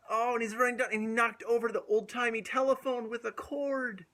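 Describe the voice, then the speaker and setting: A man reading a picture book aloud to young children in an animated, drawn-out way, asking questions and dropping into whispers. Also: very high-pitched. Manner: drawn-out, asks the listeners questions, drops to whispers. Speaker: children's storytime host.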